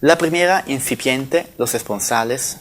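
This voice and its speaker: A man with an Italian accent delivers a formal conference lecture, reading carefully from his notes. His pitch sits in the middle range, and his measured pace is broken now and then by small self-corrections. There is a faint hiss of noise behind him. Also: a bit bright. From Italy. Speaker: conference speaker.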